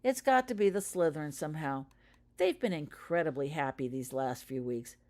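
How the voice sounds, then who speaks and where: A woman's voice: low voice, lively intonation, normal speed, darkish tone. A woman voicing a young schoolgirl who is suspicious, downcast and puzzled, in a storybook reading. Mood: dejected.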